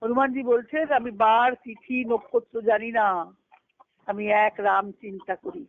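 A woman's voice: on the high side, fairly even intonation, measured pace. A woman giving a devotional lecture on scripture over a conference call, teaching by repeating key phrases and answering her own questions. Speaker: spiritual discourse speaker. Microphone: conference call phone line. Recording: tinny narrowband conference phone line.

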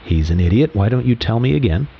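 A sardonic late-night call-in host in a radio drama. His delivery is theatrical and world-weary, with lively rises and drops. The sound is slightly dull, like a limited broadcast. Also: mid-range voice, fast, vocal fry, breathy edge.

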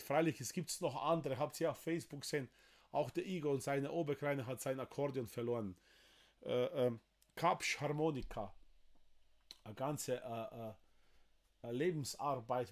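A man in his forties from Slovenia, speaking German with a Slovenian accent, hosting a livestream from a wood-panelled room with halting, emotional talk full of fillers. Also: medium pitch, darkish tone. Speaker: livestream host. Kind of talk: livestream appeal to viewers.

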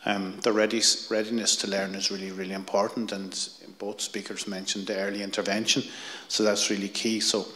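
A middle-aged Irish man on a conference panel, speaking in a measured, explanatory way into a handheld mic. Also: on the low side, level tone, sharp tone. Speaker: panelist, union general secretary. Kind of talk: panel discussion.

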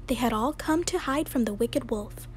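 High-pitched young woman reading a storybook. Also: normal speed. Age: young adult. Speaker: storyteller reading a picture book aloud. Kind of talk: expressive children's story read-aloud. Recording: home recording with clear background noise.

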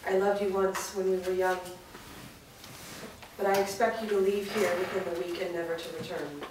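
A guarded young woman speaks firmly and warily, voiced by an actress at a script reading. Her voice sits slightly low. It comes from far off in a roomy studio, with noticeable noise behind it. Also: steady tone, moderate pace, lightly acted. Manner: firm, guarded. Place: large indoor rehearsal studio. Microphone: far camera mic. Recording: noisy echoey studio from far off.

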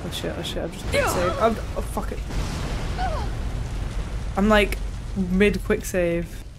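A woman's voice: medium pitch, fairly even intonation, takes it slowly, gravelly, background noise. A young woman giving casual, exasperated gameplay commentary, swearing and reacting aloud. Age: young adult. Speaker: game streamer. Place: small home room.

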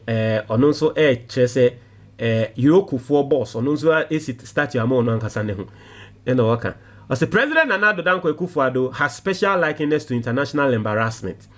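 A man with a Ghanaian accent reading social media comments aloud and reacting in animated, casual commentary. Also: on the high side. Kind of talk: casual commentary mixing English and Twi.

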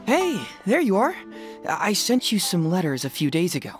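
A wry young detective. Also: male voice, high voice, normal speed. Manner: relaxed and wry. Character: clever young male detective, easygoing. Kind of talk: video game voice acting. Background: game music.

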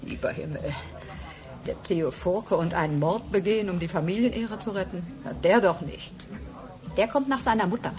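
Actress as low-voiced, confiding secretary. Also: female voice, normal speed, a little mellow, lightly acted. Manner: hesitant, confiding. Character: discreet office secretary, confiding, apologetic, gossipy woman. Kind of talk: German radio crime drama acting.

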